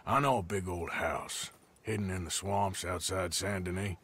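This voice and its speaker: A man playing a gruff, wry outlaw gunman in a western game, with a husky voice and a plain-spoken, dry manner. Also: deep voice, steady tone, moderate pace, fairly bright tone. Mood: matter-of-fact. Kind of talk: video game western acting.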